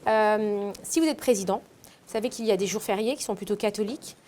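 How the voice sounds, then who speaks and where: A woman's voice: mid-range voice, fairly quick, darkish tone, slight background noise. A woman interviewer hosting a casual interview and asking friendly, teasing questions. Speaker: interviewer, influencer host.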